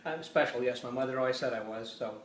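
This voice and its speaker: A man's voice: medium pitch, fast. A chatty man in his sixties from the Midwest talks about himself and laughs easily, often restarting his sentences. He sounds a little off the mic in an echoey room, with faint noise underneath. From Illinois, US Midwest. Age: 60s. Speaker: podcast host being interviewed. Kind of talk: casual chat. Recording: roomy live stream from a distance, faint noise.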